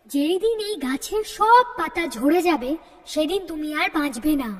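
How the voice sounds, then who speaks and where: A woman's voice: high-pitched, animated, moderate pace, in a large hall. A woman voicing a stern goddess in a fairy-tale cartoon, solemn and admonishing. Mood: solemn warning.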